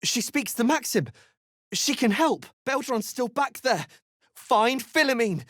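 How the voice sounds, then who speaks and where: A frantic deep gnome in a video game, with a husky, rough-edged voice. The delivery is urgent and desperate, swinging widely in pitch, and recorded dry. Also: female voice, lively intonation, unhurried, a bit bright, dry recording. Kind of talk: video game character acting.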